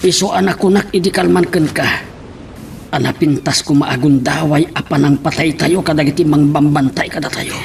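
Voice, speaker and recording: Earnest older farmer, Filipino accent, high-pitched, gravelly, radio drama delivery. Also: male voice, fairly even intonation, moderate pace, darkish tone, a little hiss or hum. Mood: cautious, worried. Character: earnest older village farmer, father and leader.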